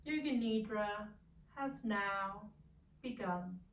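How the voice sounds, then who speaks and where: A woman leading a guided meditation as a yoga nidra guide, calm, soothing and gentle, leaving long pauses. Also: mid-range voice. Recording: roomy echo, distant from the mic.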